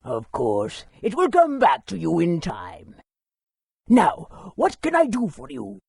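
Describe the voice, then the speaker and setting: Raspy, high-voiced scholarly fantasy historian. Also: male voice, huge pitch swings, a little mellow, dry recording, studio quality, heavily acted. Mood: helpful, cautionary. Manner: polite, measured, scholarly. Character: courteous bookish male town historian in fantasy.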